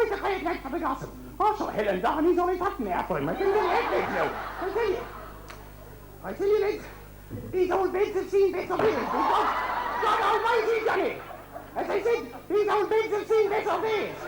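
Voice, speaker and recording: A man's voice: very high voice, big pitch swings, moderate pace, very noisy, full character voice. A man playing a gossipy, scolding Irish countrywoman in an amateur comic pantomime, rambling and full of exclamations. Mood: gossipy, exasperated. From Ireland. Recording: echoey amateur stage recording.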